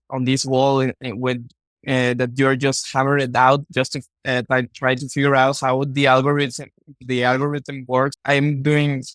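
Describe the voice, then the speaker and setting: A man from Mexico with a Mexican Spanish accent, a native Spanish speaker, talking casually as a podcast guest in halting, repetitive phrases. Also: mid-range voice, a bit bright, no room sound. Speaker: podcast guest, content creator. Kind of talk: casual interview talk.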